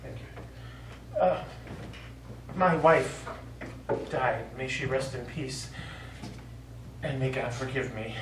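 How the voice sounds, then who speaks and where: An actor on stage playing a middle-aged American war veteran, remorseful and lovelorn, speaking in a halting, earnest, pleading way. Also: male voice, medium pitch, lightly acted. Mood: sorrowful. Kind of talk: stage play acting. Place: small theatre stage. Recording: echoey theatre stage, distant mic, faint noise.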